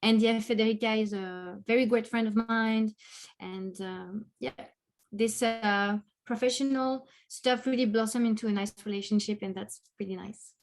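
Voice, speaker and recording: French woman, a touch high, unhurried. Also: steady tone. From France. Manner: hesitant, searches for English words. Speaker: cafe chef, guest. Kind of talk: casual interview chat. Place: her apartment.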